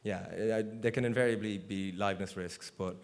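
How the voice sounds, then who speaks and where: Low-voiced man giving a loose, casual conference talk. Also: fairly even intonation, brisk. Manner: casual, loose, full of fillers like "you know" and "like". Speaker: conference speaker. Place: large conference hall stage.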